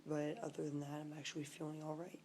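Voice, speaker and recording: A woman vlogging at home in a casual video diary, speaking hoarsely and faintly, often near a whisper. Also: deep voice, level tone, fast, warm tone, a little hiss or hum. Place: at home, lying on the floor.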